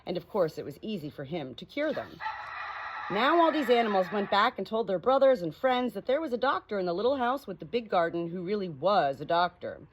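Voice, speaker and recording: Woman, audiobook reader, animated storybook narration, mid pitch, clearly noisy home recording. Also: brisk.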